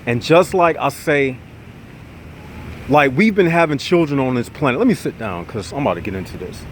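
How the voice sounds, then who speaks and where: Male vlogger, nasal from a cold, preachy rap-to-camera delivery, mid pitch, faint vehicle noise behind. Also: normal speed. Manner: preachy, animated, addresses viewers as y'all. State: nasal, getting over congestion. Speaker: channel host. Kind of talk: casual monologue to viewers.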